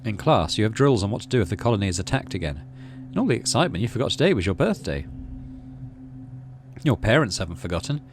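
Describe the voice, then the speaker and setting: A man's voice: on the low side, very animated, quick pace, background noise. A man reading out a story game as narration and adding casual commentary as he plays. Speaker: video game streamer.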